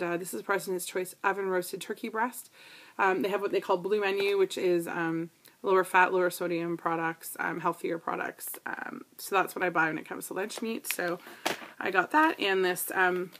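Brief: woman in her 30s, from Canada, chatty home vlogger, tablet mic with faint hiss, mid-pitched voice. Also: fairly bright tone. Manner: chatty, lots of ums, asides to her device. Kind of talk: casual grocery haul vlog. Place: living room with couch, indoors. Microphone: tablet camera built-in mic.

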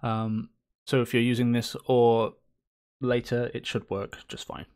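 A man hosting a screen-recorded game engine tutorial, chatty and upbeat with playful little cheers, his voice slightly hoarse from a coming cold. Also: medium pitch, little pitch movement, measured pace, a little mellow.

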